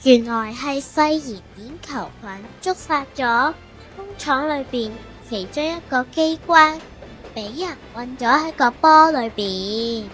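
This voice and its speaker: A woman's voice: high-pitched, slow, fairly bright tone, faint music behind. A woman with a Hong Kong Cantonese accent narrating a manga story expressively, like a storybook reader.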